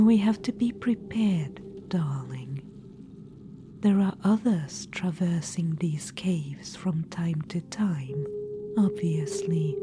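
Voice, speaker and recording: A woman's voice: lowish voice, background noise. A woman whispering as a sly undead witch-queen. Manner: soft whisper, coaxing and condescending, calls the listener darling. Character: ancient undead witch-queen, floating, proud and scheming. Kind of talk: ASMR audio roleplay.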